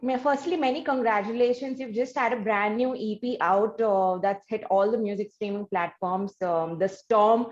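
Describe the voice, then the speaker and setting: A woman's voice: mid-range voice, darkish tone, highs cut off. A woman with an Indian accent hosting a conversational interview. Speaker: interview host.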